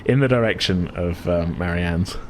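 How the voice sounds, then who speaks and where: A man's voice: sits low, normal speed, slight background noise. A man acting as game master, improvising descriptive narration for a tabletop game in a hesitant, searching way.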